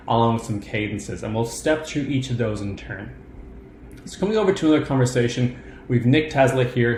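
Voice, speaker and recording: A man narrating a step-by-step software demo in an informal, explanatory way. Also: medium pitch. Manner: explanatory, informal instructional delivery. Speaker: software tutorial presenter. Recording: roomy echo, clear background noise.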